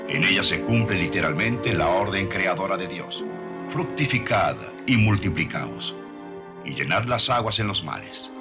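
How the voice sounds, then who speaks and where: A man narrating a scripted documentary in a solemn, measured, rhetorical manner. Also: low-pitched.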